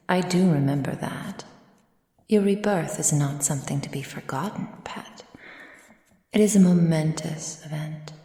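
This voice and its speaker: A woman playing an ancient, proud, possessive aristocratic vampire in a soft, breathy ASMR roleplay with formal, measured delivery. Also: low-pitched. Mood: indulgent, measured. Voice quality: breathy, soft, often near whisper. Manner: slow, formal, measured, imperious. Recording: roomy sound with long reverb.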